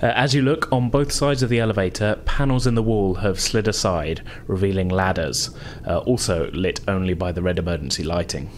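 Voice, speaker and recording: A man narrating a tabletop roleplaying game as game master, in a measured, descriptive way. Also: low voice, slight background noise.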